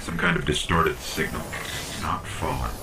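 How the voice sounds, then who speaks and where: A man's voice: very deep voice, moderate pace, noisy background. A man voicing a small, earnest robotic AI companion in a video game, briefing in a clipped, urgent way. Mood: curious, alert. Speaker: companion guide. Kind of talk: scripted video game dialogue.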